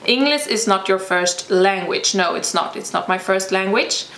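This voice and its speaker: A woman's voice: medium pitch, moderate pace, fairly bright tone. A young woman from Sweden, a native Swedish speaker with a Swedish accent, answering viewer questions in a casual vlog, thoughtful and hesitant as she searches for words. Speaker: vlogger and personal trainer. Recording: echoey room with faint ambient noise.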